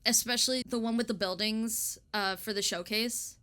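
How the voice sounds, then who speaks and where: A woman's voice: a little high, fairly even intonation, normal speed, bright, forceful tone. A young woman chatting casually in an interview, effusive and giggly, with informal, sweary phrasing. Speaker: illustrator and interviewer.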